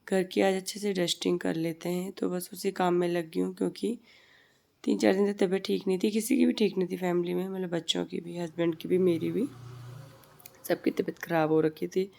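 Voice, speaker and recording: A woman in her thirties with a hoarse, heavy voice from a sore throat, chatting and rambling through a casual voice-over for her family vlog. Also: on the low side, fairly even intonation, moderate pace, warm tone. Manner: chatty, rambling, repeats herself. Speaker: family vlogger. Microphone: voice-over recorded separately from the footage.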